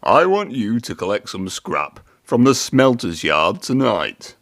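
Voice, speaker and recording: A man's voice: on the low side, sing-song, unhurried, a bit bright, no echo, heavily acted. A man voicing a genial, authoritative railway controller in a children's story, commanding and reassuring. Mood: businesslike.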